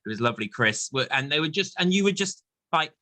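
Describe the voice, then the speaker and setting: A man hosting a casual chat interview in a chatty, animated way. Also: a little high, fast, no room sound. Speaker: interviewer, chat show host.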